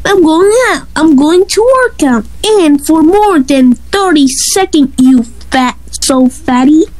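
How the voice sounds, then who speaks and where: A woman voicing an arrogant, childish buff male gym bully in an amateur animated skit, taunting in a drawn-out way. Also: sits high, no room sound, poor audio. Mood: mocking, boastful.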